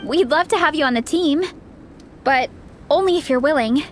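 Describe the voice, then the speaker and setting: A woman's voice: high-pitched, normal speed, slight background noise, natural voice. A woman voicing a bold, encouraging young teammate in a video game, earnest and rallying. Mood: cheerful, admiring. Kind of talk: scripted game dialogue.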